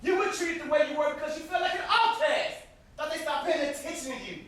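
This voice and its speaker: A man's voice: extremely high pitch, quick pace, fairly bright tone. A young man acting in amateur stage drama as an accusing, wounded brother in grief, with an emphatic manner. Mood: hurt anger. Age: young adult. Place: indoor stage, recorded from a distance. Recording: very echoey, noisy stage recording from far off.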